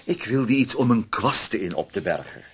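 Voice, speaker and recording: A man's voice: on the low side, lively intonation, moderate pace, breathy edge. Een man die in een hoorspel een milde, aarzelende heer speelt die ruzie probeert te sussen. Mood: uneasy soothing. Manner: hesitant, soothing. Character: mild, placating gentleman who avoids quarrels. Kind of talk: Dutch radio play acting. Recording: old radio recording with faint noise.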